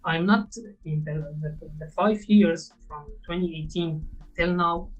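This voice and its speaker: A man in his twenties with a Syrian Arabic accent, a native Arabic speaker, answering interview questions in halting English full of fillers. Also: fairly high pitch, highs cut off. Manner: halting, hesitant speech with many fillers and restarts. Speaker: guest trader.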